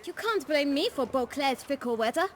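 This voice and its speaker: Woman as very high-pitched cheeky street boy. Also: animated, moderate pace, a little hiss or hum. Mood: defensive, cheeky. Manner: glib, eager, mock-polite. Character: cheeky young bootblack boy, wily street hustler. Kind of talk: video game cutscene acting.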